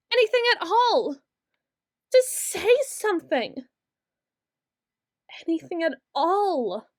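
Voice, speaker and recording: Woman doing a soft-spoken brooding queen, slow musing delivery, high-ish voice, dry close sound. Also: no room sound, full character voice. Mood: anguished. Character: brooding young queen, bitter toward her late father. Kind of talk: ASMR roleplay.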